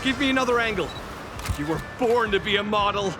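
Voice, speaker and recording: A man voicing an excitable photographer in a video game, calling out enthusiastic encouragement. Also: sits high, fairly quick, music in the background. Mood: excited. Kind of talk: video game cutscene voice acting.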